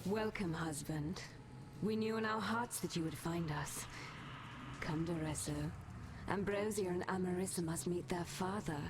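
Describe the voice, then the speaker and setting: An actress playing a seductive siren who poses as a loving wife in a video game, tender and luring. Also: female voice, lowish voice, measured pace. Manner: luring, tender, breaking into whispers. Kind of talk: video game villain dialogue. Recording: noisy game ambience behind the voice.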